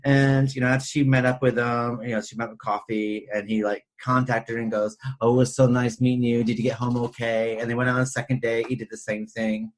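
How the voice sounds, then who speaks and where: A man's voice: medium pitch, little pitch movement, fairly quick, hardly any pauses. A man co-hosting a podcast, discussing films casually in a rambling, anecdotal way peppered with "like" and "you know". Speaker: podcast co-host.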